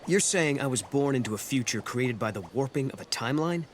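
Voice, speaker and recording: An actor playing an earnest, steadfast young hero in a video game cutscene. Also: male voice, mid-range voice, animated, fairly quick, a little hiss or hum, natural voice. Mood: questioning.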